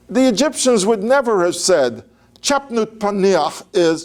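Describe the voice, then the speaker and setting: A man's voice: mid-range voice, creaky, darkish tone. An older man giving an informal, digressive lecture on biblical history, talking conversationally with fillers and restarts.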